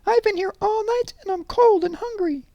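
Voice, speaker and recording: A tiny teddy bear boy, very high and squeaky, small and muffled. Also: normal speed, vocal fry, warm tone, no echo. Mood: plaintive.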